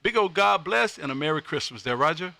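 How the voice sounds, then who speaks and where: An American man hosting amateur radio contacts on a live stream, loud, exuberant and folksy, often repeating his phrases. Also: on the high side, expressive, a little fast, creaky, noisy background.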